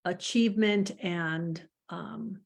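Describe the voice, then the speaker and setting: A woman hosting a podcast on a video call, speaking reflectively and trailing off softly. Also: mid-range voice, takes it slowly, vocal fry. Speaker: host. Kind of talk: casual podcast conversation.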